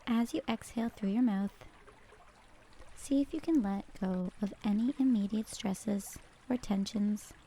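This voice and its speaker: A woman narrating a scripted guided meditation in a calm, gentle, measured manner. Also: mid-range voice, slight background noise.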